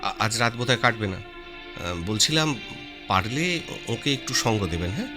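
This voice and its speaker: A man's voice: medium pitch, expressive, moderate pace, over music, natural voice. An actor playing a nervous doctor who stammers and hesitates while soothing his patient. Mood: hesitant. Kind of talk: radio drama acting.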